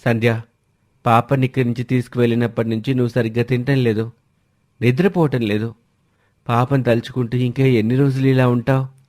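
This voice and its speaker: A man's voice: mid-range voice, little pitch movement, brisk, long pauses, a little mellow, natural voice. A man playing a concerned, patient husband and brother who reasons with relatives in a family story. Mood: concerned, reassuring. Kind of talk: dramatized family story dialogue.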